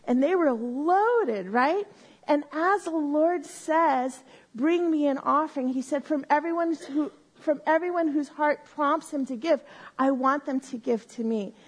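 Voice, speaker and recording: A woman teaching a Bible lesson to a live group on a microphone, explaining slowly and step by step and often checking in with "right?". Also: high voice, rough recording. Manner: says she has a loud voice. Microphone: handheld or stand mic.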